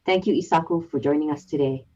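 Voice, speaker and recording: A woman's voice: sits low, fast, warm tone, highs cut off, a little hiss or hum. A woman moderating an online panel, thanking a speaker and reading out the next guest's introduction.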